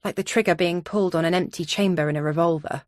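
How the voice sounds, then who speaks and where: A woman's voice: on the low side, steady tone, fast, no room sound, clean studio sound. A woman reading scripted first-person thriller narration as an audiobook narrator.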